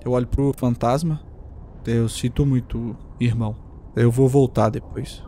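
A man's voice: mid-range voice, steady tone, measured pace, warm tone, faint music behind. A man playing a tabletop game in a casual, rambling way, describing his character. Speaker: player.

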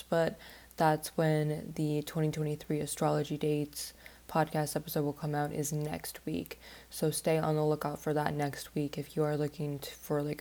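A woman talks through her astrology podcast in a loose, rambling way, full of little fillers. Her voice sits low and stays fairly level, and her nose sounds stuffed up from a recent flu. The tone is mellow rather than bright. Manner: rambling and chatty, with many "like" fillers. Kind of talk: casual podcast monologue.

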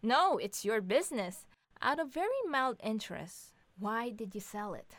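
A woman's voice: fairly high pitch, lively intonation, moderate pace, fairly bright tone, dry recording. A woman playing a sarcastic young mother who needles her partner with dry mockery in an audio drama. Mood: mocking. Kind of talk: two-hander audio drama reading.

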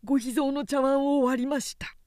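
A woman's voice: sits high, steady tone, normal speed, a little mellow, no echo, clean studio sound, pitched above the performer's own voice, natural voice. A woman reading as a meek, apologetic young samurai son-in-law in a story, polite, earnest and hesitant. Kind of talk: dramatic audiobook character reading.

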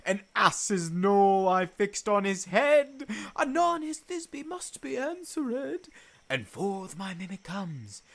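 A woman playing a mischievous, excitable fairy sprite, telling gleeful tales in theatrical verse for a radio play. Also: on the high side, creaky, heavily acted. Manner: dramatic, gleeful storytelling.

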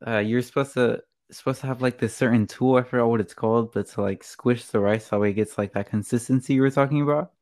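Relaxed hesitant co-host. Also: medium pitch, quick pace, hardly any pauses. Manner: relaxed, hesitant, laughs easily. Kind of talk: casual chat.

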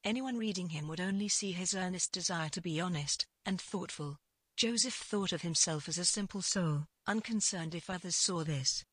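A woman reads literary fiction as its narrator. Her voice is a little low and husky, with a dry, close sound. The delivery is measured and scripted. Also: a bit bright, no echo.

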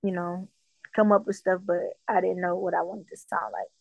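A woman from Jamaica talks casually as an interview guest, relaxed and full of fillers. Her voice sits low and stays fairly flat. The recording is dull and dry, with little room sound. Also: moderate pace, vocal fry, no echo. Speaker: musician guest.

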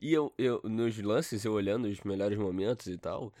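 A man's voice: on the low side, moderate pace, warm tone, dry recording, clean studio sound. A young man co-hosting a casual football chat, rambling with restarts and slang. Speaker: podcast co-host.

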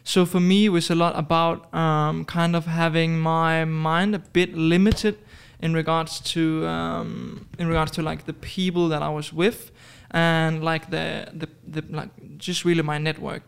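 A man's voice: on the high side, flat delivery, a little slow, vocal fry, hardly any pauses, clean tone. A man in his twenties from Denmark, chatting casually as a podcast guest, hesitant with many fillers and restarts. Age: 20s. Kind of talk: casual interview chat.

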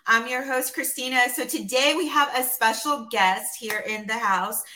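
A woman's voice: on the high side, moderate pace, pushed and bright. A woman hosting a podcast who welcomes her guest in an upbeat way. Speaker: podcast host. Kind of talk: podcast interview.

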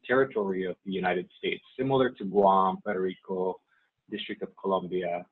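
Man, 40s, from Saipan, Chamorro native speaker, low husky voice, hesitant with fillers, phone-quality audio. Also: steady tone, fairly quick. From Saipan, Northern Mariana Islands. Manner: hesitant, many fillers and restarts. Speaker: guest, nonprofit staff member. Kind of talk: casual interview. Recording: tinny phone-like line.

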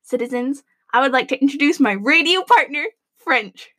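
Woman playing a high, animated radio announcer. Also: moderate pace. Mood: bubbly. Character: chirpy, flustered government radio announcer of a dystopian town. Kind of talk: scripted comic audio drama, mock radio broadcast.

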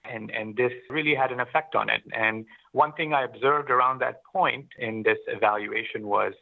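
Middle-aged man with slightly gravelly voice. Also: medium pitch, phone-quality sound. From Pakistan, grew up in Oman. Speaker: interview guest, business founder. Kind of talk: conversational personal storytelling.

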